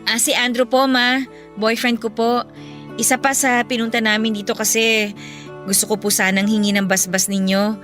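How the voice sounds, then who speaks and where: A high, bright voice with music underneath: an actress as a young Filipina daughter in a radio drama. She is earnest and easily hurt, emotional and imploring in her delivery. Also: level tone, normal speed, close to an everyday voice. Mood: nervous, pleading. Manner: pleading, emotional. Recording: music behind the voice.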